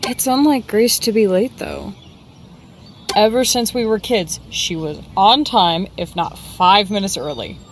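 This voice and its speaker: A woman playing an anxious, polite, soft-spoken young man in a fan game cutscene, gentle and hesitant. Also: medium pitch, measured pace, slight background noise, close to an everyday voice. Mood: uneasy. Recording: music behind the voice.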